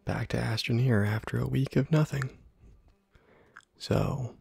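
A man softly murmuring and whispering ASMR gameplay commentary, musing to himself in a hushed, breathy way close to the microphone. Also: mid-range voice, fairly even intonation, measured pace, dry recording. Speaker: gaming video host.

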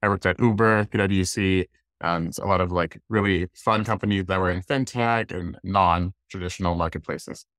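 American male speaker, thirties, lower register, conversational presenter, very dry recording. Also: steady tone, normal speed, a bit bright, no echo. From Washington, D.C., United States. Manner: casual, conversational. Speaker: guest speaker, design leader. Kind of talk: informal online presentation. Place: indoors, plain room on a video call.

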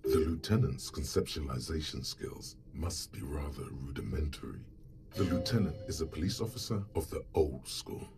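An actor voicing a grave inner voice that narrates a detective's thoughts in a role-playing game, measured and literary. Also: male voice, very deep voice, animated, music in the background. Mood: dry, brooding. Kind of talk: scripted second-person narration.

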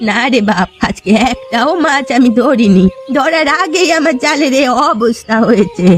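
A woman's voice: sits high, lively intonation, normal speed. A woman voicing a poor, sorrowful old fisherwoman in a cartoon folk tale, plaintive and resigned.

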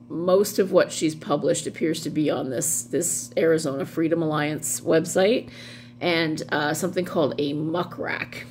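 A middle-aged woman vlogging casually in a wry, amused way, wandering off into asides. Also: fairly low pitch. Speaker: vlog host doing dramatic readings. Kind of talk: casual rambling commentary. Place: small room at home, indoors. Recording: clearly audible background hum noise.

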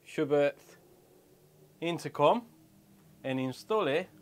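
Slow-paced man giving a casual walkthrough. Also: on the high side, animated. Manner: step-by-step explaining in short phrases. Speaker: motorcycle vlogger. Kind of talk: casual hands-on product walkthrough. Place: small wooden shed or garage room.

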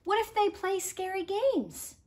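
Lady reading as a nervous little boy from a picture book, high and very up and down, full of worried questions. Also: expressive, unhurried, a little mellow. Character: anxious, doubtful young boy.

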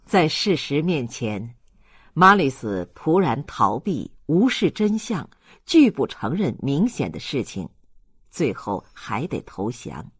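A man's voice: medium pitch, sing-song, takes it slowly, a bit bright, slightly rough, no echo, clean studio sound. A man narrating a literary audiobook in a dramatic storytelling style.

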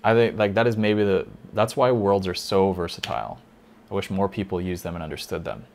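Man, deep voice, gravelly fry, slightly hoarse, chatty tutorial talk, faint background noise with typing. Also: fairly quick, darkish tone. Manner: chatty, thinking aloud with fillers. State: slightly hoarse, losing his voice. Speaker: lighting console instructor. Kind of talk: casual screen-recorded tutorial.

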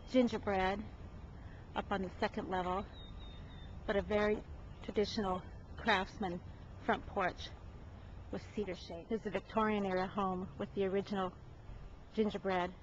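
A woman narrates a walking tour of houses in a chatty, admiring way, pausing often with ums and uhs. Her voice sits at an ordinary middle pitch. The recording is dull and lo-fi, and steady background noise runs under it. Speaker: real estate agent giving a home tour.

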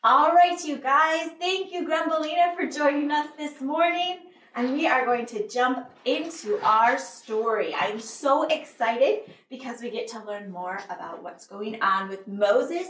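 A woman's voice: high voice, expressive, measured pace, a bit bright, slight background noise. A woman in her twenties hosting children's story time, speaking in an encouraging, smiling, sing-song way. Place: small indoor set with a couch. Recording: roomy sound, distant microphone.

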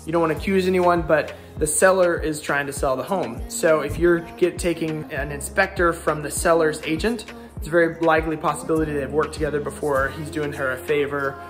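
A man's voice: on the high side, steady tone, brisk. A man in his thirties with an American accent, giving an informal, explanatory renovation walkthrough as a contractor and vlog host. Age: 30s. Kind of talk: casual walkthrough vlog talk. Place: handheld walkthrough in house, garage and covered patio. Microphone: phone or camera at arm's length. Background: music.

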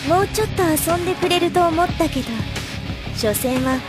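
A woman's voice: extremely high pitch, normal speed, music in the background. A woman playing a playful, smugly confident young female assassin in an anime audio drama. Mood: smug teasing.

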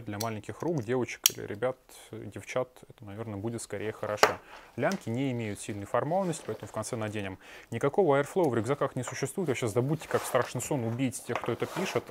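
A man's voice: medium pitch, background noise. A man in his thirties reviewing a backpack in a small shop room on a lapel mic, blunt and sarcastic with crude asides. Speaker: shop owner reviewing gear. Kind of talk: product review.

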